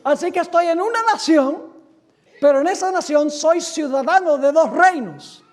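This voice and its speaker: Brief: male pastor from the US, strikingly high voice, emphatic sermon delivery with rhetorical questions. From United States. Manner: emphatic, asks rhetorical questions and answers them; reads quotations aloud slowly. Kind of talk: sermon lecture.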